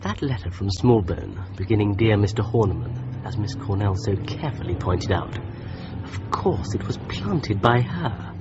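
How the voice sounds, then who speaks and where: An actor playing a man who thinks through a murder case aloud in a calm inner monologue while driving. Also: on the low side, moderate pace, muffled recording, noisy background, close to an everyday voice. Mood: musing. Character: thoughtful male amateur sleuth driving. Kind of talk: radio drama.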